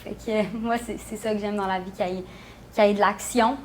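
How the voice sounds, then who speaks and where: A woman's voice: mid-range voice, steady tone, fairly quick, darkish tone, slight background noise, rough recording. Young Quebec woman chatting informally. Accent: Quebec French. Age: young adult. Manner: informal, with many filler phrases. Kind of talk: casual interview chat.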